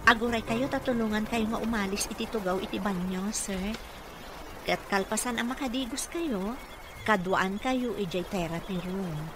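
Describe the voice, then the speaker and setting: A woman's voice: mid-range voice, moderate pace, close to an everyday voice. An actress playing a dutiful single mother who works as a caregiver, in Ilocano-accented radio drama, with a deferential, coaxing manner. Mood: patient, encouraging. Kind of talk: Filipino radio drama acting. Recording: noisy radio drama with background ambience.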